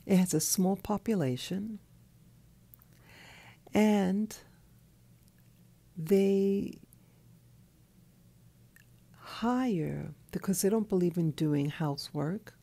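Woman, just woken, hoarse, gravelly, husky, whispery, slow and halting. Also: mid-range voice, dry recording. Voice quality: soft, often whispery. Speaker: channel host. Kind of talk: early morning monologue. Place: in bed at night. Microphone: clip-on mic.

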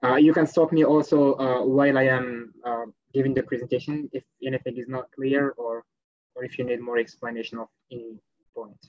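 A man's voice: mid-range voice, fairly even intonation, fairly quick, dry recording, dull audio. A young man from Morocco giving a live online presentation on a video call, hesitant and full of fillers. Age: young adult. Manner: hesitant, many fillers and restarts. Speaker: guest presenter, language teaching assistant. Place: small room at home, indoors. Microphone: laptop webcam, video call.

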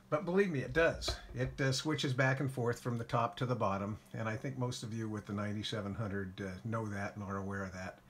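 A man calmly walking through his ham radio station setup in a casual how-to, with lots of filler words. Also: lowish voice, brisk, a little hiss or hum. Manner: relaxed, folksy, frequent "uh" fillers. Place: home radio shack by a window.

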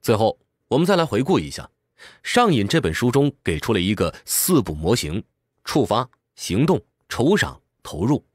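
A man's voice: lowish voice, very animated, normal speed, husky, no room sound, studio quality. A man reading a scripted explanatory book summary in a structured, instructive way.

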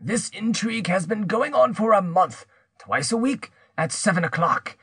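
Man playing a menacing, vengeful uncle, pitched up. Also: expressive, normal speed, a bit bright, slightly rough, no echo, studio quality, exaggerated voice. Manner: vehement, threatening, accusatory. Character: menacing vengeful older uncle, a blackmailing villain.

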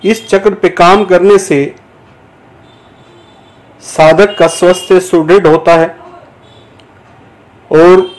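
A man giving guided yoga nidra instruction as a teacher, in measured, deliberate short phrases with pauses between them. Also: sits high, steady tone, low-quality recording. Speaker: yoga teacher guiding a meditation.

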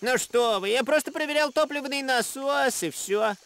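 An actor voicing a nervous, fussy mechanic in a jungle cartoon, with a flustered manner. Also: male voice, very high-pitched, moderate pace, fairly bright tone, clear, resonant tone. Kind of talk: animated series voice acting in Russian.

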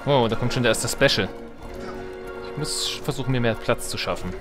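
Male let's play host, mid-range voice, casual and wryly self-mocking, game music clearly underneath. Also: medium pitch, normal speed. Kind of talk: casual gameplay commentary. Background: video game music.

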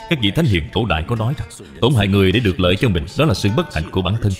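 A man's voice: fairly low pitch, very animated, very fast, faint music behind. A man voicing a courteous, scholarly father in a cartoon dub, formal and respectful. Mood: earnest, moralizing. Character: courteous, principled father, scholarly younger friend.

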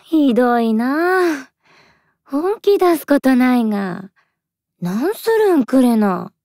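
Possessive fox spirit girl, slow drawl, dry close recording, western Japanese dialect, emotional anime-style drama. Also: high-pitched, big pitch swings, a little mellow, no echo, exaggerated voice. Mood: wounded.

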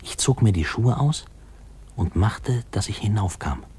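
Low, husky, wistful narrator. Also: male voice, lively intonation, a little mellow, full character voice. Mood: reflective. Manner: reflective, measured storytelling. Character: wistful male narrator recalling his boyhood. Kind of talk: 1950s radio drama narration, literary.

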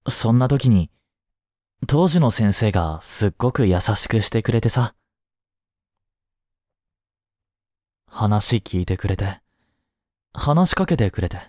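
A man playing a kind, easygoing schoolteacher in a voice drama, gently and casually encouraging a student. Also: medium pitch, dry recording, clean studio sound, natural voice. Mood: reflective, tender. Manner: gentle, unhurried, casual, reassuring with long pauses. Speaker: voice drama performer. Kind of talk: Japanese voice drama, one-sided intimate talk to the listener.

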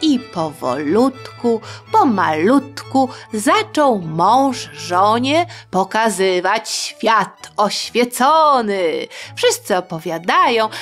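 Slow, very lively woman storyteller. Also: medium pitch. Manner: playful, conversational, uses diminutives. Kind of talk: expressive educational storytelling for young listeners.

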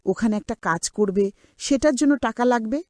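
A woman's voice: on the high side, expressive, brisk, a little mellow, dry recording, natural voice. A woman playing a cruel aunt in a cartoon drama, scolding and sarcastic in a harsh, nagging manner. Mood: demanding. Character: cruel, scolding aunt bullying her orphaned niece.

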